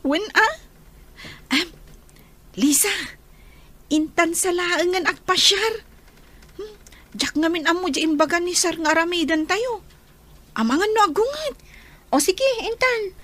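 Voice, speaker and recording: Woman playing a very high-pitched whiny teenage girl. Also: lively intonation, measured pace, pauses often, fairly bright tone, heavily acted. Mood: whining. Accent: Ilocano. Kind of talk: Ilocano radio drama acting.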